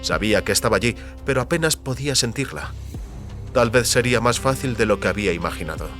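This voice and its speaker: A man with a Castilian Spanish accent narrating a dramatized audiobook in a measured, deliberate storytelling manner. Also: medium pitch, fairly bright tone. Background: music under the voice.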